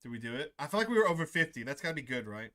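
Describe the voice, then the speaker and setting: A man's voice: medium pitch, racing. Hyped gaming guy talking to chat, voice jumps all around, game music under it. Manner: excitable, reads game text aloud, laughs and chats to viewers. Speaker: gaming channel host. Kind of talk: let's play commentary.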